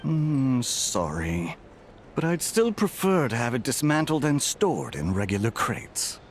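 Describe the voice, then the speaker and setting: A man playing a wily, guarded smuggler in a video game, with a sly, expressive delivery. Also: mid-range voice, measured pace, slight background noise. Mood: wary. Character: wily, guarded male smuggler haggling over deals.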